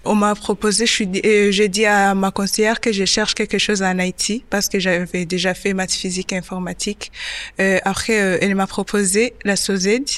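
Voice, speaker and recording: Young Rwandan woman, smooth voice. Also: mid-range voice, little pitch movement, a bit bright, no room sound. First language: not French. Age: 20s. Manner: simple halting French with many restarts. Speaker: interview guest, web development apprentice. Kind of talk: interview answers.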